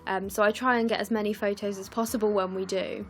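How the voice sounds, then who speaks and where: A young woman from the UK, croaky and scratchy, chatting through her scrapbooking as a casual voice-over, with lots of ums and apologies. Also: medium pitch, flat delivery, quick pace. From United Kingdom. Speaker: scrapbooking vlogger. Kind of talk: casual process video voice-over.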